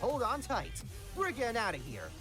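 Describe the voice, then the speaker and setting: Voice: mid-range voice, wildly swooping pitch, normal speed. A performer voicing a cocky, carefree young male cartoon hedgehog hero with a breezy, playful manner in a comic dub. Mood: confident, playful. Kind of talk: animated comic dub acting. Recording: music under the voice.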